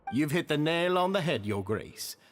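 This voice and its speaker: A man's voice: fairly high pitch, expressive, normal speed, close to an everyday voice. An actor playing an earnest, deferential male society leader in a video game, speaking politely and eagerly. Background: faint music.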